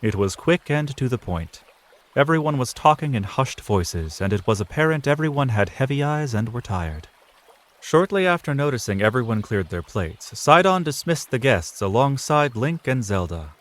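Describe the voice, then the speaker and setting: A man's voice: medium pitch, sing-song, normal speed. A man reading scripted narration for a fantasy audio drama, in a storyteller's style.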